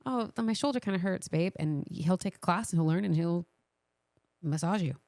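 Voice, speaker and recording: A woman's voice: fairly low pitch, normal speed, a little mellow. A woman co-hosting a podcast, chatting casually in a playful, teasing way. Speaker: podcast co-host. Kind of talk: casual banter.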